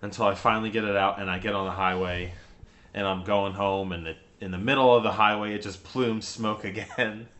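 A man's voice: lowish voice, fairly even intonation, brisk, fairly bright tone. A young man co-hosting a casual podcast, telling stories in a rambling way and laughing often. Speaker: podcast co-host. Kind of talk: casual chat.

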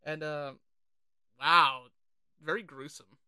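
A podcast co-host talking casually and informally about films, animated and sweary. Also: mid-range voice, slow, clear, resonant tone, no echo. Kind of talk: casual film discussion.

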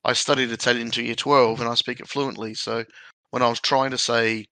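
A man hosting a casual podcast, chatty and quick to laugh at his own stories. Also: mid-range voice, flat delivery, bright, forceful tone, no room sound, low-quality recording. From Australia. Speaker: podcast host.

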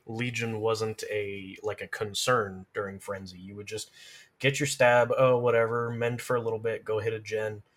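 A man's voice: medium pitch, fairly bright tone. Man giving casual, emphatic gaming advice. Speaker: podcast guest; game streamer. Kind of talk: casual gaming talk, explaining tactics.

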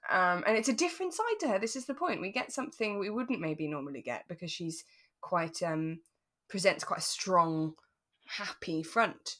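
A woman's voice: fairly low pitch, lively intonation, normal speed, fairly bright tone. A British woman co-hosting a podcast, chatting casually and with a giggly, self-deprecating manner as she recaps a drama. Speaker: podcast co-host.

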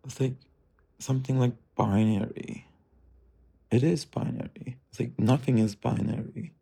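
Guy rambling to himself, dark mellow voice, lotta fry, cursing and trailing off. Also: mid-range voice, fairly even intonation, a little slow. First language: Turkish. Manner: musing, drifting, profane, softly trailing off. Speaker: streamer. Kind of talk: rambling self-talk monologue.

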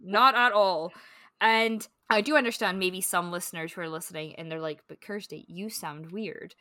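A woman with a Scottish accent, co-hosting a casual book podcast and telling stories in an animated, chatty way. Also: lowish voice, moderate pace, dry recording. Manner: animated, chatty storyteller with frequent fillers. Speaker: podcast co-host.

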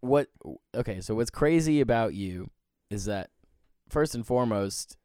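A man's voice: medium pitch, expressive, measured pace, darkish tone, clean tone, no echo, studio quality. A man hosting a podcast with a wry, sarcastic, theatrical manner. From Nebraska, US. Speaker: podcast host. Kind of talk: playful show intro and casual interview.

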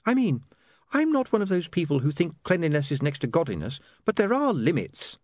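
A man voicing a prim, sharp-tongued, practical young woman in an audiobook, with a prissy and snappy manner. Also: fairly high pitch, very animated, a little fast, no echo, studio quality, voice pushed up. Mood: prim disapproval.

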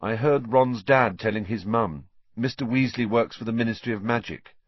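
A man's voice: medium pitch, moderate pace, no room sound, lo-fi sound, close to an everyday voice. A man reading a storybook, voicing an earnest, stubborn teenage schoolboy who sounds insistent. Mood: weary.

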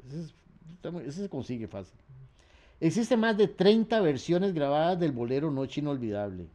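Mellow-voiced man hosting a music show. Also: a little high, sing-song, moderate pace. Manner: reads facts in long runs, trails off with fillers. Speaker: radio host and researcher. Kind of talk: relaxed radio talk about music history.

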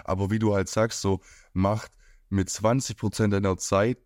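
A man's voice: on the low side, level tone, moderate pace, a little mellow, dry recording, clean studio sound. A man from near Stuttgart hosting an interview, asking long rambling questions in a casual tone. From near Stuttgart, Germany. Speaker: podcast host.

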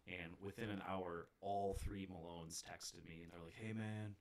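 Casual male talker, forties, very low-pitched, DJ in easy conversation. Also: fairly even intonation, measured pace. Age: 40s. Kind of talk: casual chat.

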